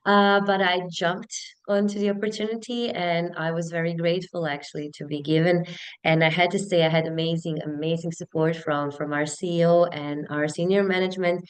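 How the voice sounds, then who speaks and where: Female HR professional, 30s, Bosnian accent. Smooth, slightly low voice, conversational and earnest in a webinar interview. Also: steady tone, fairly quick. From Bosnia and Herzegovina. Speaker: HR business partner, webinar guest.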